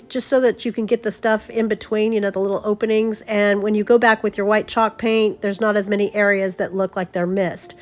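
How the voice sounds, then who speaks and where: Stuffy-nosed chatty crafting woman. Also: fairly high pitch. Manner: chatty and upbeat, exclaims over gifts. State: stuffy from a cold. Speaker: craft vlogger. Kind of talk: casual DIY tutorial narration.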